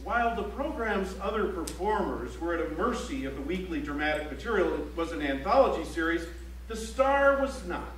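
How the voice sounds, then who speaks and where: A dryly ironic male chronicler played by a stage actor narrates in a high, measured, declamatory voice. It is live theatre, heard at a distance in an echoey auditorium. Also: expressive. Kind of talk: theatrical scripted documentary narration. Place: library auditorium stage, recorded from the audience. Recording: echoey auditorium, distant mic, faint hum.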